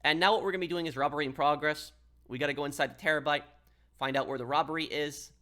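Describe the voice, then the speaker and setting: Higher-pitched man narrating a gaming guide. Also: fairly even intonation, quick pace, sharp tone. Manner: instructional, step-by-step. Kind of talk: casual gameplay tutorial narration.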